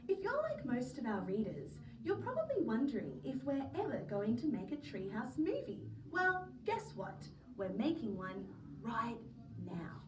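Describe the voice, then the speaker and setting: A woman reading a children's story aloud as a teacher, in an animated narrating style. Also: on the high side. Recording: roomy, distant mic, faint noise.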